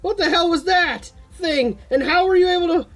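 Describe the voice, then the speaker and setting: A man's voice: very high-pitched, moderate pace, fairly bright tone, soft background music, voice pushed up. A man voicing a frantic, frightened woman in an amateur audio story. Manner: frantic, breathless questioning. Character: frantic frightened young woman escaping demons.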